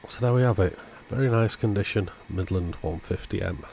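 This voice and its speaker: A man calmly narrating a radio repair at his workbench in a matter-of-fact way. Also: deep voice, fairly quick, creaky, noisy background. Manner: relaxed, matter-of-fact commentary while working. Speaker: electronics repair channel host. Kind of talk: narrated bench repair tutorial. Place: workbench indoors.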